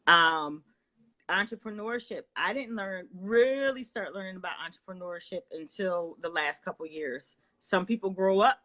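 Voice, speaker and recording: A woman hosting an interview from home, halting and full of fillers while she affirms her guest. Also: lowish voice, bright, forceful tone. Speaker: interview host. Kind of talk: interview chat.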